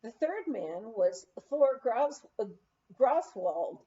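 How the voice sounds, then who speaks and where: Woman narrator, mellow voice, animated intonation, memoir read-aloud, storytelling delivery, muffled lo-fi sound. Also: fairly high pitch, slow. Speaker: audiobook reader.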